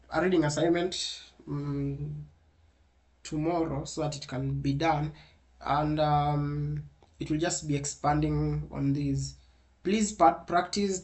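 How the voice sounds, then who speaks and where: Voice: mid-range voice, darkish tone. Patient coding instructor. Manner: patient, walks through each step and repeats itself. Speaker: programming instructor. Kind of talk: online coding lesson.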